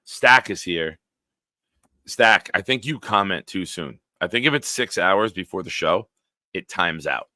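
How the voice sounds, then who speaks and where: Deep smooth-voiced guy in his 40s running a live stream, blunt, swears a lot, dry close mic. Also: animated, a little slow, bright, forceful tone, no echo. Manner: banters with chat, blunt and profane. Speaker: live-stream show host. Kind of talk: casual live-stream chat, reading viewer comments.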